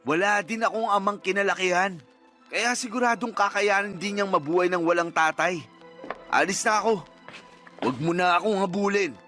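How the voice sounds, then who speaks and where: Lalaking gumaganap bilang malamig, makasariling lalaki, mataas-taas ang boses. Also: male voice, high-pitched, normal speed, bright, forceful tone, faint music behind. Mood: firm, unmoved. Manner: cold, blunt, dismissive. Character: callous, selfish man walking out on partner and child. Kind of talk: Tagalog radio drama acting.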